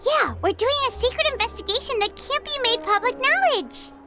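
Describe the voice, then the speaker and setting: A woman's voice: extremely high pitch, animated, brisk, over loud music. A woman voicing a tiny, cheerful, childlike female companion creature in a video game, perky and exclamatory. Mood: chatty. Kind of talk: video game character dialogue.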